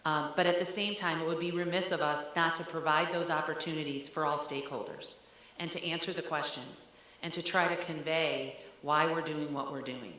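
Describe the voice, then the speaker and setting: A woman's voice: sits low, fairly even intonation, normal speed, bright, forceful tone. A woman speaking candidly and conversationally as a school district leader at a public meeting. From Ohio, United States. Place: large meeting room. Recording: very echoey room with hiss.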